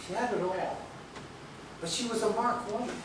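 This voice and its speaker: A folksy, measured mentor acted by a man on stage speaks like a storyteller in a high voice. The recording is echoing, distant and clearly noisy. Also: natural voice. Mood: reflective. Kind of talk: stage play acting. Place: theatre stage, picked up from a distance. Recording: echoey, noisy stage videotape from far off.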